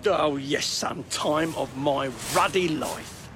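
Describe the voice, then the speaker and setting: An actor playing a nervy, miserable, put-upon police sergeant in a radio comedy, hesitant and blunt. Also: male voice, fairly high pitch, slow, a bit bright. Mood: glum. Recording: clear background noise and ambience.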